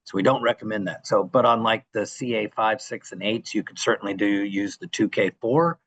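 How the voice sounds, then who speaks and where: A man's voice: on the low side, a little fast, fairly bright tone, low-quality recording. A man co-presenting a technical class, adding practical advice in a casual, conversational style.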